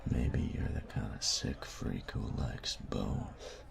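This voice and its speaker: A man playing a cocky, taunting, domineering young bully in an intimate audio roleplay, speaking in a breathy, gravelly half-whisper with slow, teasing menace. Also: very deep voice, a crowd in the background, full character voice. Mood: smug teasing. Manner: slow, teasing, menacing delivery with drawn-out pauses.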